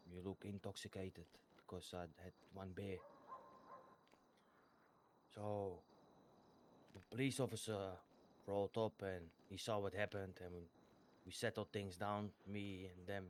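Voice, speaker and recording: A man's voice: fairly low pitch, a little hiss or hum, close to an everyday voice. A man voicing a hapless civilian with a foreign accent who gives a rambling, stammering statement in an online roleplay game. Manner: halting and rambling, full of stammered repeats. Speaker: witness giving a statement.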